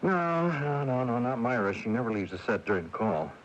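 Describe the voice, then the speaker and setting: A male actor plays a flustered film crew man in a radio drama, stumbling and repeating himself. His voice bobs up and down over a dull taped broadcast with faint rumble. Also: very animated, brisk, lightly acted. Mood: worried. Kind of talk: radio mystery drama acting.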